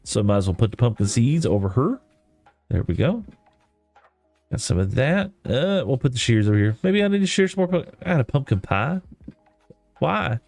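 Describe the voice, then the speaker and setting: A man's voice: mid-range voice, sing-song, moderate pace, creaky, long pauses, no echo. A man from East Texas with a Texan accent, chatting casually and rambling in a folksy, self-deprecating way while livestreaming a game. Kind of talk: casual gaming stream chat. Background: soft lofi music.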